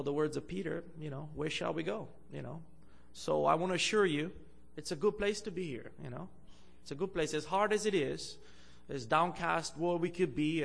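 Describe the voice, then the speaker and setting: Gravelly-voiced man from Bulgaria preaching. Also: on the high side. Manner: hesitant, many fillers, louder when preaching and reading scripture. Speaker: guest preacher. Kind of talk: sermon. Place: church.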